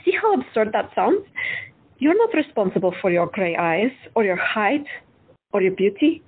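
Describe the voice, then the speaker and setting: A woman playing a frank, unconventional woman doctor who talks bluntly and candidly with a slightly husky voice. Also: medium pitch, very animated, normal speed. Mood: earnest. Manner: blunt, candid, lightly teasing. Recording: tinny phone-like audio.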